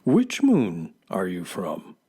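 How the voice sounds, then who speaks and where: A woman's voice: rumbling low, wildly swooping pitch, slow pace, warm tone, slightly rough, full character voice. A woman playing a skittish, questioning animal sidekick in a children's radio play. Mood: skeptical. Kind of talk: children's radio theater acting.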